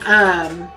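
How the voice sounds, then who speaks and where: A woman's voice: on the low side, pushed and bright. A woman in her thirties chatting casually while playing a video game, gushing and joking with her viewers. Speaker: streamer. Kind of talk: casual gameplay chat. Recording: game music playing behind.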